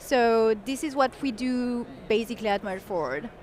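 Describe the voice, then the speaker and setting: A woman guest at a conference interview, explaining her community work eagerly in quick bursts. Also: a little high, a little mellow. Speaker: software engineer and community guest.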